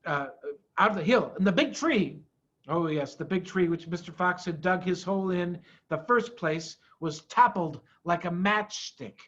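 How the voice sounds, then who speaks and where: A male storyteller reads a children's tale aloud, theatrical and emphatic. His delivery is slow with big swings in pitch, and the recording is slightly dull. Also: a bit bright. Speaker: storybook reader, school head.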